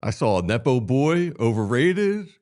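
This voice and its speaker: A man co-hosting a sports talk show, teasing and firing back quick comebacks. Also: medium pitch, big pitch swings, a little mellow. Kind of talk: casual sports talk banter.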